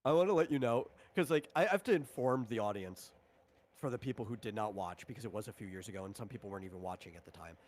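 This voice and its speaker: A man's voice: on the low side, fast talker, darkish tone. A man talking casually as a game master on a stream, explaining things conversationally with asides.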